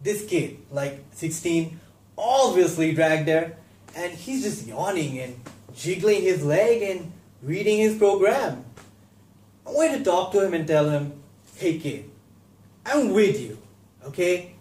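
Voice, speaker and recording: A man performing a theatrical monologue as an anxious, self-deprecating young stage actor, confessional and halting with bursts of intensity. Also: high voice, sing-song, darkish tone, pitched above the performer's own voice, heavily acted. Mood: agitated, embarrassed. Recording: echoey room.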